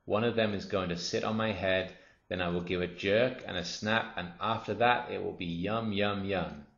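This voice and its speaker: A man's voice: low-pitched, fairly even intonation, moderate pace, creaky, fairly bright tone. A man voicing a huge, greedy crocodile who mutters his scheming plans to himself in a storybook reading. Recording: roomy, dull lo-fi recording.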